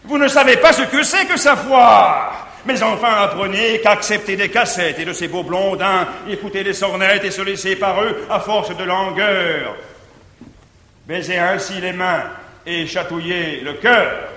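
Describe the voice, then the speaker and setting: An actor playing a jealous, domineering middle-aged guardian, declaiming French verse on a theatre stage in a 1950s live recording. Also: male voice, measured pace, bright, forceful tone, full character voice. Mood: lecturing. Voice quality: declamatory, booming. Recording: echoey theatre hall, faint hiss, 1959 live stage recording.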